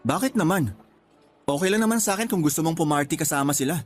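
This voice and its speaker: A man's voice: fairly high pitch, expressive, fast, soft background music, close to an everyday voice. A man with a Filipino accent playing a devoted, teasing young boyfriend in a conversational radio drama. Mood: reassuring. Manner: sweet-talking, reassuring.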